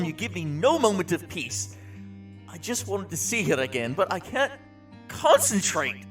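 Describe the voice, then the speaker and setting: Tormented madman, audio drama, higher male voice, distraught, halting delivery, formal speech. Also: fairly bright tone. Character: tormented man descending into grief-stricken madness.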